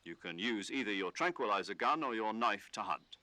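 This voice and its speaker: An actor playing a calm, authoritative military commander, giving measured, scripted mission briefings in a video game. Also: male voice, fairly low pitch, no room sound. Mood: instructive.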